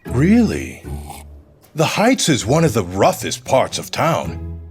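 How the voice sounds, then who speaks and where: An actor playing a slick, scheming, domineering city mayor in an audio drama, with a politician's smooth, bossy manner. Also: male voice, mid-range voice, huge pitch swings, slow pace, faint music behind. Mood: persuasive, smooth. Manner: smooth politician's charm turning to bossy irritation.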